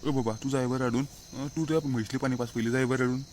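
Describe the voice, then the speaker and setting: A man's voice: medium pitch, steady tone, fast talker, a little mellow, a little hiss or hum, close to an everyday voice. A man playing a scolding, stingy, unschooled village father in a comic cartoon, with a rural Maharashtrian accent. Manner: blustering, scolding.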